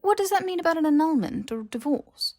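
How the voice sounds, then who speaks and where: A woman voicing a brash, easily exasperated young man in an audiobook, casual, halting and sarcastic. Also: very high voice, lively intonation, a little mellow, voice pushed up. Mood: puzzled questioning.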